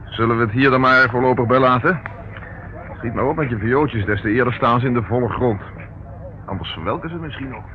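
An actor playing a dogged police inspector in a radio play, sardonic, stern and deliberate in his talk. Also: mid-range voice, natural voice. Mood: sardonic, accusing. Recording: muffled, noisy old radio recording.

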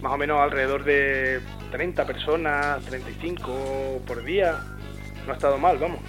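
A man being interviewed over a mobile phone, answering in a relaxed, informal way. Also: medium pitch, normal speed, over music. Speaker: guest, comic organiser.

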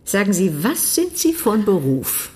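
An actress playing a dry, sceptical official in a radio play, curt and dismissive in manner. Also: female voice, medium pitch, big pitch swings, a little slow, a little mellow. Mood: bored, sceptical. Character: dry, sceptical, bored official vetting funding requests.

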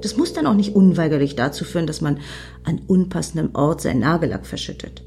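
An actress in a radio play voicing an excitable, obsessive female fan who muses dreamily and justifies herself as she rambles. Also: sits low, fast talker, soft background music. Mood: self-justifying.

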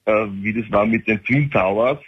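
A man's voice: fairly low pitch, normal speed, breathy edge. Rambling Austrian man on a phone line. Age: 40s. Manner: rambling, many fillers and restarts. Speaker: caller. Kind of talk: phone-in call.

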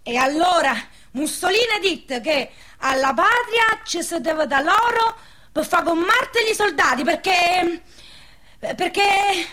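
A woman's voice: very high-pitched, animated, unhurried, bright, forceful tone, exaggerated voice. A woman playing a naive, bashful village girl in a wartime radio drama, with a southern Lazio dialect accent and a hesitant, defensive way of talking. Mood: insistent, defensive. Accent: central Italian, southern Lazio dialect. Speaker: radio play actress.

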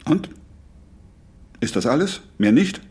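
An actor playing a clipped, probing surveillance official in a radio play, reading out reports. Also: male voice, mid-range voice, sing-song, takes it slowly, darkish tone, husky, exaggerated voice. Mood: probing, officious.

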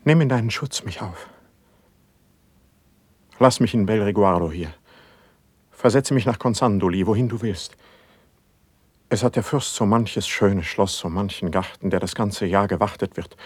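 An actor playing a brooding, suspicious and passionate poet in a classical verse radio drama, with declamatory, measured diction and a husky voice. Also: male voice, on the low side, dry recording. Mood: fervent pleading.

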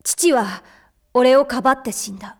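A woman voicing a defiant, hot-headed teenage boy hero in an anime drama, with brash, rough boyish speech. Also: high voice, normal speed, over music. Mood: solemn narrating. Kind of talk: anime voice acting.

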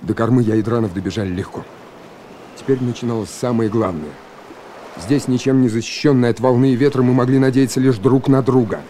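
An actor playing a brave, earnest young submarine lieutenant who narrates and speaks in a radio drama. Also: male voice, mid-range voice, steady tone, a little fast, dark tone, slight background noise, natural voice. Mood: composed.

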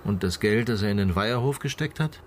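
An actor playing a sober investigator, asking measured, formal questions in a naturalistic radio drama. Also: male voice, fairly low pitch, animated, a little hiss or hum, natural voice. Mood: probing. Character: male investigator, sober and probing.

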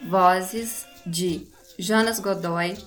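A woman's voice: fairly low pitch, fairly even intonation, very slow. A woman reading scripted credits as an announcer. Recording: music behind the voice.

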